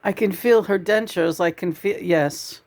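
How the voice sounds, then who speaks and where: A woman in her sixties hosting a channeling session, soft-spoken and hesitant, with fillers. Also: fairly low pitch, a little slow, dry recording. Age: 60s. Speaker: spiritual channeler.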